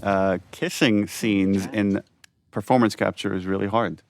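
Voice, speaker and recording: A man's voice: lowish voice, fairly even intonation, unhurried. A man giving conversational director's commentary, explaining things in an analytical way.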